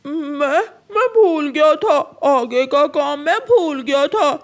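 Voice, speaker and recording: A lazy, sly crow from an animated moral tale, acted out by a woman. He speaks in a high voice at a very slow, drawn-out pace, wheedling with an animated rise and fall. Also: lo-fi sound. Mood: wheedling excuses.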